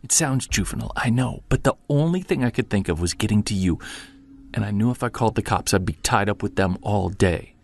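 An actor playing a weary, stubborn investigator in an audio drama, earnest and confiding. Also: male voice, fairly low pitch, lively intonation, fairly quick, gravelly. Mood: earnest, defensive. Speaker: lead actor and narrator. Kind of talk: naturalistic audio drama acting with first-person narration.

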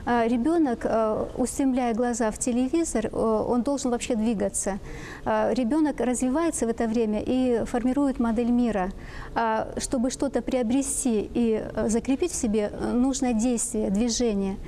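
A middle-aged woman explaining things as an expert guest on a studio talk show, often pausing to search for words. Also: on the high side, warm tone.

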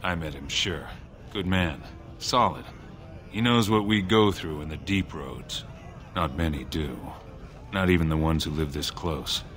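A man voicing a gruff, weary dwarf warrior in a video game, speaking in a terse, blunt way. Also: a bass voice, unhurried, a bit bright. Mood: gruff, friendly. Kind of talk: video game dialogue acting.

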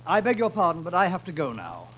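An actor playing a polite, unbothered murder victim in 1950s radio comedy, mild and matter-of-fact. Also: male voice, sits high, huge pitch swings, quick pace, fairly bright tone, slightly rough, lo-fi sound, exaggerated voice. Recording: noisy humming vintage radio broadcast.

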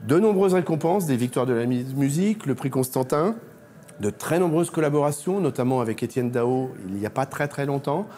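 A man in his fifties from France presenting an exhibition to camera in an informal, explanatory, slightly halting way. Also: mid-range voice, lively intonation, dark tone, slight background noise. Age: 50s. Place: indoor exhibition, reconstructed bedroom.

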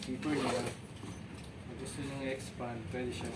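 A man's voice: medium pitch, little pitch movement, a little slow. A man with a Filipino accent chats casually about products like a shop staffer, in short answers. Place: motorcycle accessory shop. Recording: noisy location sound.

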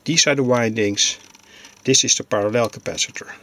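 A man giving an informal hobbyist demonstration, narrating in halting, deliberate phrases. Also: medium pitch, bright, forceful tone. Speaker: electronics hobbyist presenter.

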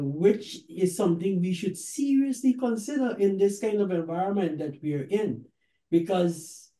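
Guyanese man, mellow tone, deliberate halting delivery, talk show host, roomy video-call sound. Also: high-pitched. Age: middle-aged. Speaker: host, reverend. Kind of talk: reflective talk show hosting. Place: book-lined office.

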